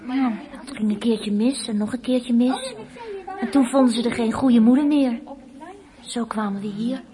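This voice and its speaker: A woman's voice: a little high, lively intonation, normal speed, mellow, some chatter behind, close to an everyday voice. A woman playing a defiant, tough-talking child in a radio drama, blunt, colloquial and offhand. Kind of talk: naturalistic radio drama acting.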